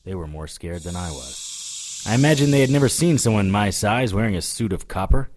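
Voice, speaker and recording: A man's voice: on the low side, expressive, fairly quick, background noise, lightly acted. A man playing a wry, hard-boiled hero, narrating a scripted audio drama in the first person with dry sarcasm. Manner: dry, sardonic. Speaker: narrator and lead character.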